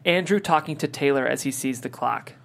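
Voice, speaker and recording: A man's voice: mid-range voice, brisk, vocal fry, fairly bright tone. A man hosting a script read and narrating stage directions.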